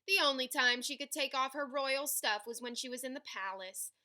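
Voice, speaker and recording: A woman reading a children's picture book aloud in an animated, dramatic way, stretching key words for emphasis. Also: high voice, moderate pace. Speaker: read-aloud storyteller.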